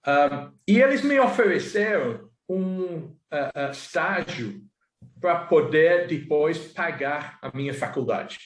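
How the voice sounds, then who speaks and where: A man's voice: fairly high pitch, lively intonation, slow pace, roomy sound. A man in his sixties from England, a native English speaker with an English accent, telling his life story as an interview guest with many restarts and fillers.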